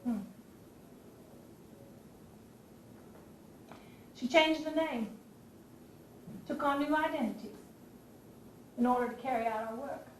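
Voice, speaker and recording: A woman acting an impassioned Southern abolitionist lady spy in a Civil War stage play, delivering a theatrical monologue to the audience with dramatic pauses. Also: on the high side, recorded from a distance, natural voice. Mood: grave. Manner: theatrical, declamatory, with dramatic pauses and rhetorical questions. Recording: echoey stage recording from afar, faint noise.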